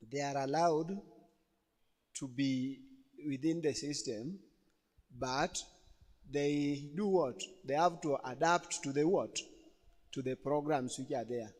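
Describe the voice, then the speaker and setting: Slow halting man in a reverberant church. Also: a little high, a little mellow. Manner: slow, halting, many fillers. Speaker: church program moderator. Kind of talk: church talk with questions to the audience. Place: church hall. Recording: long hall reverb.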